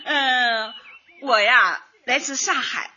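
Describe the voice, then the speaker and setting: An actress playing a cheerful, chatty grandmother from Shanghai in her late sixties, effusive and drawn-out, in a comic radio drama. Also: fairly high pitch, pushed and bright, husky, lo-fi sound, heavily acted. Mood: earnest, cheerful. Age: 60s. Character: cheerful chatty 68-year-old Shanghai grandmother, retired culture worker.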